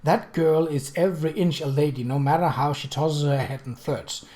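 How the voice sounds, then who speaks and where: A reader voicing an earnest, awkward, very young upper-class gentleman, stammering and apologetic. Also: mid-range voice, normal speed, close to an everyday voice. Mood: earnest, anxious.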